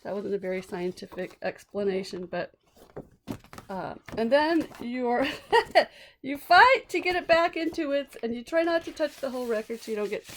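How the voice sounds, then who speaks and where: A woman's voice: on the high side, animated, normal speed, a bit bright, a little hiss or hum. A woman teacher casually explaining things to young children, chatty and asking simple questions. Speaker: read-aloud teacher.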